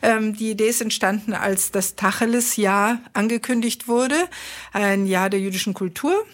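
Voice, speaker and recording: A woman's voice: mid-range voice, moderate pace. A woman from Israel, a guest in a studio interview, explaining her views at length with frequent hesitations. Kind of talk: conversational interview.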